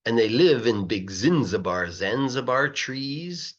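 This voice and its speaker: A man narrates a rhyming children's storybook with a highly animated voice of medium pitch. His delivery rises and falls in a sing-song rhythm, with key words stretched for emphasis. The recording sounds a little dull and lo-fi. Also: slow. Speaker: English teacher reading a children's story aloud.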